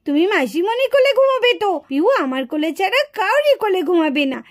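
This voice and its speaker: A woman voicing a soothing, indulgent village aunt in a children's puppet cartoon, coaxing and gently chiding as she talks. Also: extremely high pitch, very animated, fast.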